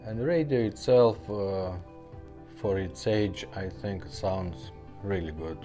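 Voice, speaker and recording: A man with a very low, dark voice hosts a casual hands-on demonstration. He speaks in plain, short phrases and leaves pauses between steps. Music can be heard in the background. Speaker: host, car radio repair technician demonstrating a unit.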